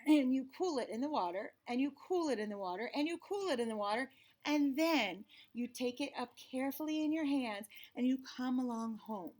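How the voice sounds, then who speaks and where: A woman reading aloud plays an exasperated mama from a storybook. Her voice is high and emphatic, stretching words out as she scolds and patiently instructs her foolish child. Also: lively intonation, a little fast, darkish tone. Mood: exasperated scolding. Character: exasperated, scolding, loving Southern-folktale mama of a silly child.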